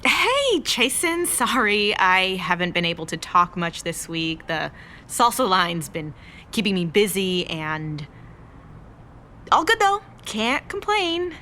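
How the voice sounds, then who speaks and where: An actress playing a stressed, stubborn young restaurant owner in a naturalistic romantic-comedy audio drama. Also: female voice, mid-range voice, big pitch swings, slow, bright, forceful tone, slight background noise, full character voice. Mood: forced cheer. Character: stressed, stubborn young Mexican American woman running a restaurant.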